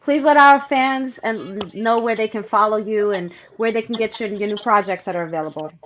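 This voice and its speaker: A woman co-hosts a casual radio interview. Her pitch is average, and there is some noise and clicking behind her voice. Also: normal speed, a bit bright, rough recording. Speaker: radio show co-host.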